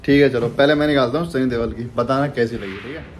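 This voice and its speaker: Quick-talking man in casual chat. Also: mid-range voice. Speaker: student vlogger among friends. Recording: faint background noise.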